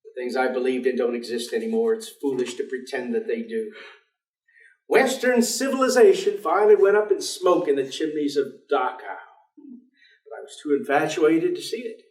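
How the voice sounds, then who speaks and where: A man's voice: medium pitch, expressive, moderate pace, a little mellow, lightly acted. A man acting in a staged play reading as a despairing, stubborn professor, speaking in formal, precise, clipped phrases. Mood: resigned. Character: despairing, weary intellectual male professor, curt and stubborn. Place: theatre stage. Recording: distant, echoey theatre stage recording.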